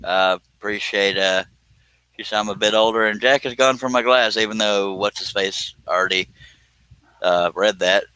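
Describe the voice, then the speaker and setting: A man in his fifties chats as a live stream host in a fairly low, bright voice. The sound is dry and close, and his delivery is folksy, full of joking and teasing. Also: moderate pace, smooth, dry recording, low-quality recording. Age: 50s. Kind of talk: casual live stream chat.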